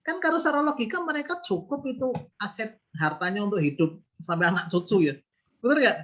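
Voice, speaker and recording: A man's voice: sits high, animated, a little fast, fairly bright tone, highs cut off. A man in his fifties, a business owner, explaining his views earnestly in an interview, often asking rhetorical questions. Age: 50s. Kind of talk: reflective interview talk.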